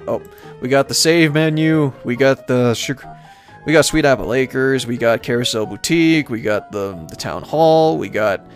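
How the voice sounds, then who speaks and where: A man giving casual video game commentary, thinking aloud in a rambling, halting way as he plays and reads from the screen. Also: mid-range voice. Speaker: let's-play host.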